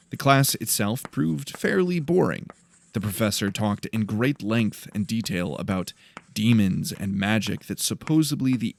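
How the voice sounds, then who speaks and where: A man narrating dramatic fiction from a script as an audiobook storyteller. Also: on the low side. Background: faint music.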